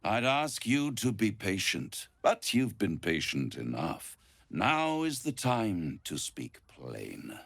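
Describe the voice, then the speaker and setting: Video game villain: ancient scheming devil, grandiose and suave, low gravelly purr with husky edges. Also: male voice, huge pitch swings, dry recording, full character voice. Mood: silky, confiding. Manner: silky, grandiose, savours words with dramatic pauses.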